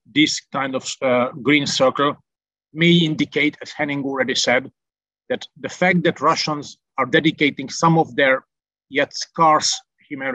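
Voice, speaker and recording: Bright-voiced Hungarian man briefing. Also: mid-range voice, dry recording. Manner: methodical, frequent "uh" fillers. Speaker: military analyst. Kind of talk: briefing over a shared map. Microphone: video call. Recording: dull lo-fi online call audio.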